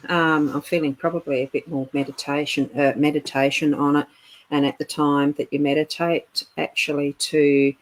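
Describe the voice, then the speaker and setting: Low-voiced woman, rambling chat. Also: little pitch movement, rough recording. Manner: meandering, halting, laughs often. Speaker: co-host of a live spiritual chat stream. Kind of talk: casual live-stream chat.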